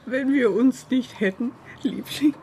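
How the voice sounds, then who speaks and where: An actress in a radio drama playing a wistful, grieving woman who speaks haltingly and plaintively. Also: on the high side, mellow, slight background noise. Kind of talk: radio crime drama acting.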